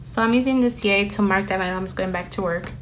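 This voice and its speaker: A woman's voice: medium pitch, brisk. A woman whose first language is Spanish, chatting casually and rambling with many fillers as she decorates a planner. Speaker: planner vlogger. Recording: steady background noise with paper crinkling. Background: sticker and paper crinkling.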